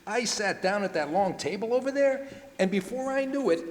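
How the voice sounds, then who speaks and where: An actor playing a hapless, complaining comic sidekick in a 1940s-style radio comedy, telling it with emphasis. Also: male voice, sits high, expressive, moderate pace. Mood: comic complaint. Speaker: amateur radio play performer. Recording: reverberant hall, faint noise.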